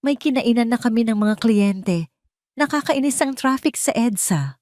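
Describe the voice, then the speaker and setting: A woman's voice: fairly high pitch, animated, moderate pace, a little mellow, dry recording, studio quality, voice pushed up, close to an everyday voice. A woman with a Filipino accent voicing a tired, irritated businessman husband in an audio drama, lifeless and dismissive. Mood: curt, annoyed. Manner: lifeless, dismissive, mixes Tagalog with English.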